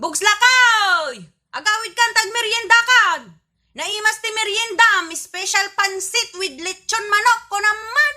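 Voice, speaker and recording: A woman playing a loud, boastful wife in an Ilocano radio drama, calling out to show off her cooking. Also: extremely high pitch, a little slow, heavily acted. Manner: shouting, showing off her cooking. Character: loud boastful wife Aida, middle-aged.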